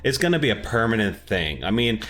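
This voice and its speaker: A man's voice: lowish voice, big pitch swings, brisk, fairly bright tone. A man co-hosting a podcast, chatting casually and enthusiastically about movies in an informal, opinionated way. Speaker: podcast co-host.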